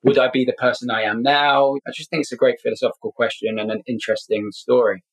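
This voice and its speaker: A middle-aged man with a London accent shares a personal story as a podcast guest. His voice sits at an average pitch. He speaks earnestly and repeats himself to drive a point home. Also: little pitch movement, fast talker, rough recording. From Scotland, grew up in London. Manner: earnest, emphatic repetition.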